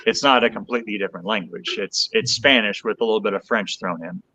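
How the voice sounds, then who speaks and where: A man's voice: fairly low pitch, fairly quick, sharp tone. A man with an American accent explains things in a casual, conversational way as a podcast guest. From United States.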